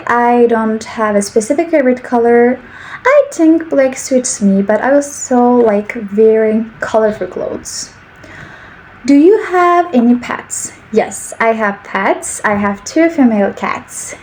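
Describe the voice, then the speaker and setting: A young woman with a Turkish accent, in her twenties, leads a slow English practice session. She talks in careful, simple sentences at a gentle, deliberate pace. Her voice sits a little high, and a faint background hum runs under the recording. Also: rough recording. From Turkey. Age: 20s. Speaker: English teacher.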